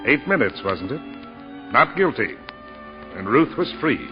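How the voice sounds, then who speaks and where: An actor playing a reflective lawyer recounting a trial in 1940s radio acting, on an old recording. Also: male voice, medium pitch, very animated, a little slow, highs cut off, soft background music. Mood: somber reflective.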